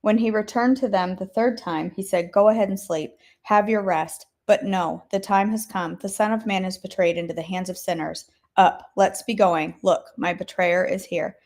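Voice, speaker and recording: Wheezy woman devotional host, coughs and clears her throat, chatty and direct with listeners, reads scripture aloud. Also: mid-range voice, steady tone, moderate pace, hardly any pauses, darkish tone. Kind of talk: casual chat then Bible reading aloud.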